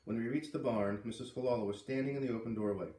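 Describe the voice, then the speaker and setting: A man reading a children's novel aloud as an expressive storyteller. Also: medium pitch, quick pace. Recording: roomy echo, distant from mic.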